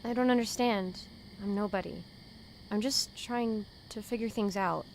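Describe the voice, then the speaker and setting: A woman's voice: medium pitch, measured pace, a little hiss or hum, close to an everyday voice. A woman playing a naive, anxious young woman in a fan audio drama, hesitant, earnest and full of questions. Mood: confused.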